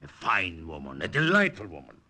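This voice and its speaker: Casting: courtly, unctuous officer, bright forceful voice, highly animated, old radio drama sound. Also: male voice, brisk, exaggerated voice. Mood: oily, jovial. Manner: unctuous, pompous, lingering over phrases. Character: smug, courtly male Nazi commandant in occupied France. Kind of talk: 1940s radio acting. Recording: noisy old radio broadcast recording.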